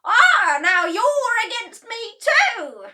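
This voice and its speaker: A woman voicing a bossy, enormous old grandmother who shouts shrilly in a storybook reading. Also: very animated, slow, far from the mic, exaggerated voice. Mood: accusing. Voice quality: shrill, bellowing.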